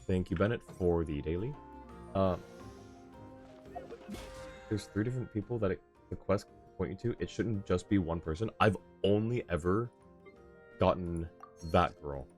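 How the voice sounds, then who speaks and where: A man's voice: low voice, moderate pace, pauses often, darkish tone. A man livestreaming a video game, chatting casually and thinking aloud in a rambling way. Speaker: livestreamer host. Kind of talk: casual chat while playing a game. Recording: game music behind the voice. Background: video game music and character voices.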